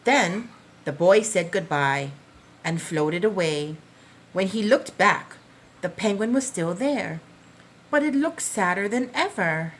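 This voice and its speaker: A woman reading a picture book aloud to young children as a preschool teacher, expressively, with deliberate, dramatic phrasing. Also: mid-range voice, animated, a little hiss or hum.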